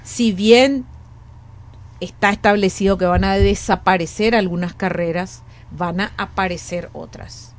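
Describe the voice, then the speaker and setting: A woman's voice: medium pitch, a little slow. A woman in her late forties with a Paraguayan Spanish accent, a guest in an interview who speaks earnestly, repeating and restarting phrases as she thinks aloud. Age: 40s. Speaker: education academic, guest. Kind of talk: conversational interview.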